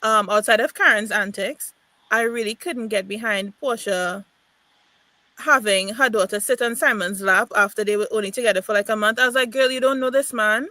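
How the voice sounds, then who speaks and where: A woman's voice: medium pitch, normal speed, bright, forceful tone, no echo. A woman chatting bluntly and emphatically about a reality show. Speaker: panelist.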